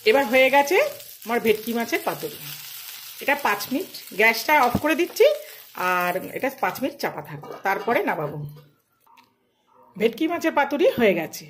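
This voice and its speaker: A woman's voice: fairly high pitch, sing-song, fairly quick, long pauses, a bit bright, slight background noise. A woman, a home cook narrating a recipe step by step in plain, short, casual phrases. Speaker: home cook hosting a cooking channel.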